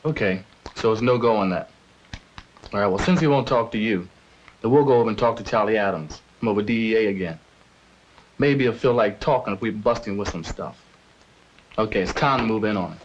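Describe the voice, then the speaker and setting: A man's voice: on the low side, animated, brisk, pauses often, close to an everyday voice. A man with an American accent playing a plain-spoken senior investigator briefing his team in a 1970s training film. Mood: decisive, businesslike. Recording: dull, faintly noisy 1970s film sound.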